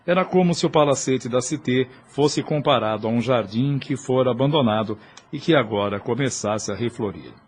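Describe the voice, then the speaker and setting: A man's voice: medium pitch, normal speed, breathy edge. A man with a Brazilian accent reading scripted, dramatic narration for an audio novel.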